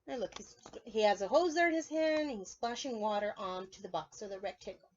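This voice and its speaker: A woman preschool teacher reading a storybook aloud to young children in an animated, instructive way, often putting questions to her listeners. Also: mid-range voice, fairly quick, muffled recording, slight background noise.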